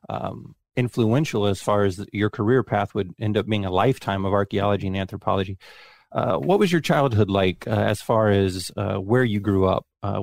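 Guy asking questions, lots of uhs, lowish voice, dry sound. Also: creaky, a little mellow, no echo. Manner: hesitant, many uhs. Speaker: co-host interviewer. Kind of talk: interview questions.

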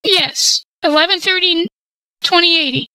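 A shrill, bright-toned woman, rambling and feigning confusion, voiced by a man through voice changing software. The sound is dry and close, with no room around it. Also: a little slow, no room sound, exaggerated voice. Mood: stubborn. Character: scatterbrained woman stringing along a scammer. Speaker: scambaiter. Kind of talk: prank phone call.

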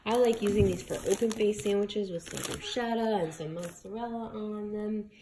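A woman's voice: medium pitch, moderate pace, background noise. Chatty woman vlogging at home. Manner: chatty, rambling with fillers. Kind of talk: casual grocery haul vlog. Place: home kitchen, indoors.

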